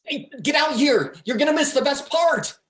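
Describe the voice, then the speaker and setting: A man's voice: extremely high pitch, sing-song, moderate pace, a crowd in the background. A man playing a crass, vain pretzel guy in a comedic play reading, brash and bossy. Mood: brash, annoyed.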